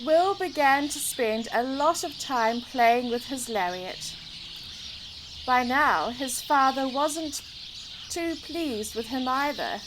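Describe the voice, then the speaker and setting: A woman reads a children's storybook aloud in an expressive way to young listeners, recorded outdoors in a garden. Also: sits high, takes it slowly. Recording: outdoor recording with clear background noise, birdsong. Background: birdsong.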